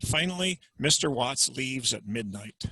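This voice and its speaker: A man narrating and introducing a dramatic reading over a video call, in a clear explanatory manner. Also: medium pitch, expressive, measured pace, sharp tone, dry recording, low-quality recording.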